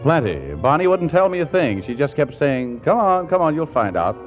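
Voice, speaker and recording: A man's voice: a little high, very animated, normal speed. An actor playing a lovestruck, evasive young man in a 1940s radio comedy, talking in an emphatic, pleading way. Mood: sheepish. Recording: dull vintage 1940s radio recording, music behind.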